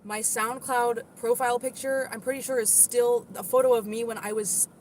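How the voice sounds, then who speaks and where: A woman with a moderately deep voice, livestreaming and answering her viewers' comments in a chatty, defensive way, full of "like" and "literally". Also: fairly even intonation, moderate pace, a little hiss or hum.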